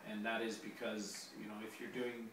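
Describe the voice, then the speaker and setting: A hoarse man, a teacher being interviewed, answering casually with many pauses and the odd dry joke. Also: lowish voice, little pitch movement. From Canada. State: hoarse, losing his voice. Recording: very echoey room, distant mic, noisy.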